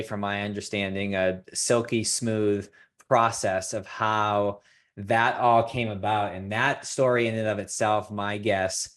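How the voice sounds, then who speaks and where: A male podcast host with a fairly low voice. He runs a casual interview in a halting style, filling his sentences with small hesitations and laughing easily with his guests. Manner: halting, many filler words, laughs easily.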